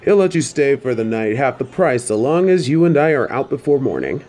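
A man's voice: medium pitch, very animated, fairly quick, warm tone. A man playing a friendly, easygoing wagon driver in an audio drama, casual and chatty. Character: easygoing, friendly male travel driver in ancient China. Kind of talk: fan audio drama acting.

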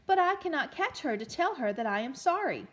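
A woman voicing a quick-tempered but remorseful husband in a storybook folktale, in a measured delivery. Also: high-pitched, sing-song, voice pushed up. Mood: sorry.

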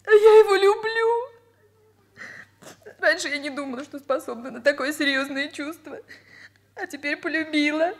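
A woman's voice: high voice, moderate pace, pauses often, lightly acted. An actress playing a naive, emotional, lovesick young secretary in a Soviet radio drama, with a girlish manner. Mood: tearful, lovesick. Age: young adult. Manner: girlish, simple-hearted.

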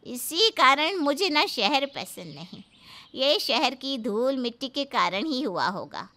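A woman's voice: high voice, very animated, slow pace, sharp tone, voice pushed up, heavily acted. A woman voicing an opinionated elderly village aunt in a cartoon story, grumbling and folksy. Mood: grumbling, nostalgic.

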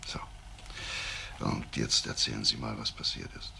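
An actor playing an understanding police investigator in a crime radio play, with a gravelly voice and measured questioning. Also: male voice, extremely low pitch, flat delivery, bright, forceful tone, whispered, full character voice. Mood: sympathetic, probing.

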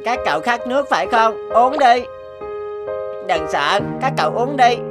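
A gentle little boy, talks politely and kindly in a cartoon. The voice is fairly high, with loud music behind it. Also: lively intonation, a little slow. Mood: kind, caring. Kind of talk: cartoon dub acting.

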